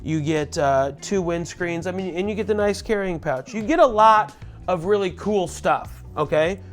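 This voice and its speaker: A loud, chatty man giving a casual product tutorial, speaking into a lavalier mic clipped under his shirt. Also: sits high, moderate pace, faint music behind. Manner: loud, chatty, self-deprecating asides. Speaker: gear reviewer.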